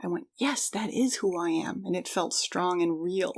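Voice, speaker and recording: A woman's voice: on the low side, moderate pace, creaky. A middle-aged woman co-hosting a podcast, talking casually and reflectively in an earnest way. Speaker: podcast co-host.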